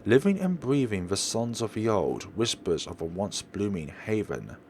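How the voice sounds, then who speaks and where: A man's voice: lowish voice, big pitch swings, normal speed, darkish tone, slight background noise. A man hosting a let's play, reading visual novel narration aloud and sometimes stumbling and correcting himself. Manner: stumbles over read lines and corrects himself.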